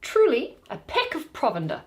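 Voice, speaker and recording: A woman's voice: high voice, wildly swooping pitch, moderate pace, a little mellow. Woman playing pompous donkey-headed man, swooping delivery. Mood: hungry, drowsy. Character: Bottom, bumbling self-important man, part donkey, hungry and sleepy.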